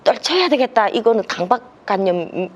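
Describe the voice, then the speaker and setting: A woman's voice: fairly high pitch, lively intonation, moderate pace, muffled recording. A woman with a North Korean accent, speaking as a panelist and telling personal stories with bits of acted dialogue. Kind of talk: panel discussion.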